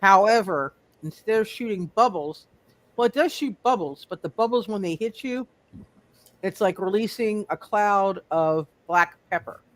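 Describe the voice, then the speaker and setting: A man running a tabletop roleplay game, halting and often repeating his phrases. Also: high voice. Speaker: game master.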